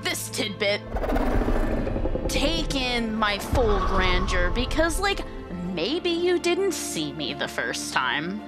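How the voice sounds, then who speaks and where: Woman guest on a tabletop game, casual, kinda slow, music behind. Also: medium pitch, sing-song. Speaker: guest player. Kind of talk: casual improvised game chat.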